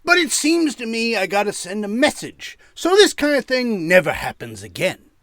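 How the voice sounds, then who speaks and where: A man playing a menacing gangster boss in an audio drama, sarcastic and bullying, with gravelly, taunting wise-guy talk. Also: high voice, very animated, moderate pace, fairly bright tone, no echo, studio quality, heavily acted.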